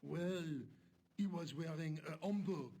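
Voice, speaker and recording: A blunt, unfazed hospital guard in a video game. His voice is fairly high but gravelly, and he answers in short, matter-of-fact lines. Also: unhurried, crowd noise.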